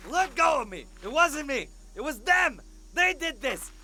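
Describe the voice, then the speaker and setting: A man acting a desperate murder suspect who shouts pleas of innocence in an audio drama. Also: very high-pitched, sing-song, measured pace, over music, heavily acted. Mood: frantic, desperate. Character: frantic murder suspect protesting his innocence.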